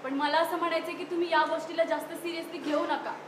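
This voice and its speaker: A woman's voice: extremely high pitch, steady tone, fast. A woman playing a practical, argumentative young mother in a stage play, with emphatic projected delivery. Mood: reasoning, firm. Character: young mother and sister-in-law arguing practically. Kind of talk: stage play dialogue in Marathi. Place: indoor stage at a youth festival. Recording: roomy hall, distant stage pickup, faint noise.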